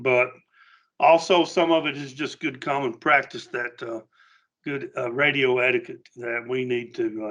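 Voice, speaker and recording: A man with a Southern US accent giving an informal safety briefing to utility line workers in a halting, plain-spoken, earnest way. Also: mid-range voice, a bit bright.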